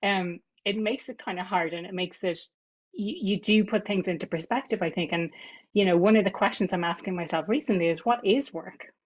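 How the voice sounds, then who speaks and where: A woman's voice: medium pitch, fairly quick, dull audio. A woman, a podcast guest talking reflectively, often repeating words as she gathers her thoughts. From Ireland. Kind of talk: conversational podcast interview.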